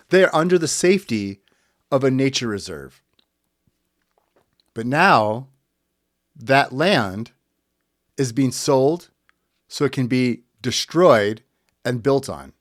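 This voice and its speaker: A man's voice: medium pitch, dry recording, clean studio sound. A man from the United States hosting a podcast, giving angry, blunt political commentary and swearing freely. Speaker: podcast host.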